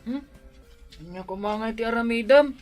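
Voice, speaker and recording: Simple, bashful household helper for radio drama, hesitant and good-hearted. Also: male voice, very high voice, moderate pace, faint music behind. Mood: stubborn, puzzled. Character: shy, simple-minded, good-hearted male servant. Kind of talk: Filipino radio drama acting.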